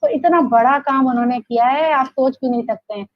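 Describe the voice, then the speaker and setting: A woman's voice: high-pitched, brisk, no room sound, muffled recording. A Pakistani woman speaking as an interview guest in an enthusiastic, motivational testimonial style, emphatic and repeating phrases for effect. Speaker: interview guest, direct-sales leader.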